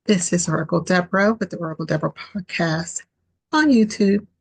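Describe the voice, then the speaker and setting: A woman with a raspy voice hosting her own podcast, talking casually through a tarot reading with many fillers and restarts, on a built-in mic without a headset. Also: on the low side, brisk, no room sound. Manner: rambling, full of fillers and restarts. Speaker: podcast host and tarot reader.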